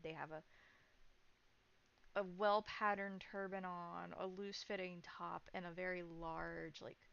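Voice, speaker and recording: A woman running a horror tabletop game as game master, narrating in halting, descriptive phrases. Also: on the low side, gravelly, fairly bright tone. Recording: dull, lo-fi sound.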